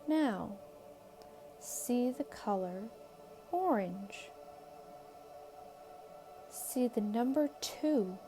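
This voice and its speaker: A woman guides a meditation at an extremely unhurried pace, with music playing behind her. Her voice is soft-toned and mellow. She speaks calmly and soothingly in short phrases, leaving long pauses between them. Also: on the high side. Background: background music.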